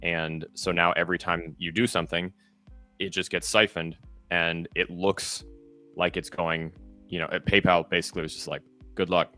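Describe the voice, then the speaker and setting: Low-voiced guy on a podcast, bright edgy tone, kinda monotone, um-heavy advice, faint music under it. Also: moderate pace. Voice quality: relatively monotone voice. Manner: practical, advice-giving, with frequent ums and you-knows. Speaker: co-host. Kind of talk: casual podcast chat.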